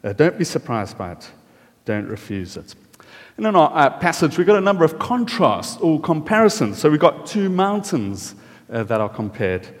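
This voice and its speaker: A man's voice: a little high, sing-song, normal speed. A man with a South African accent giving a chatty sermon, with many fillers, asking the audience questions. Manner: chatty, friendly, filler-heavy. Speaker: preacher. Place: church hall. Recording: reverberant church hall.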